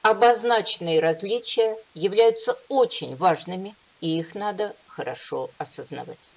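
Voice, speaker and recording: A woman giving a measured, explanatory academic lecture at a conference. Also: mid-range voice, creaky. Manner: measured, explanatory, lively intonation. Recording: dull lo-fi recording.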